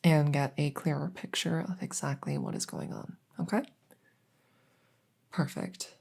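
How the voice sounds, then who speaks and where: A woman playing a gentle, reassuring veterinarian in a soft-spoken ASMR roleplay, hesitant and soothing as she explains. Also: deep voice, fairly even intonation, a little slow, vocal fry, full character voice. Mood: calm, reassuring. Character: gentle, reassuring female veterinarian examining a werewolf patient. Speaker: audio roleplay performer.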